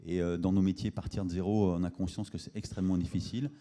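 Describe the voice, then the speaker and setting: A middle-aged man in a panel discussion, talking haltingly with repeated words into a handheld microphone. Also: sits low, level tone, warm tone. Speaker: commercial director, conference panelist. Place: conference auditorium stage.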